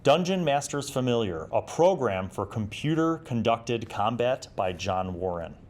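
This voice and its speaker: A man's voice: mid-range voice, sing-song, unhurried. A man in his forties talking casually on his own as he hosts a review show, reflective and explanatory in a conversational way. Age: 40s. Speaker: host of an old gaming review series. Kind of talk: casual solo review and reminiscence.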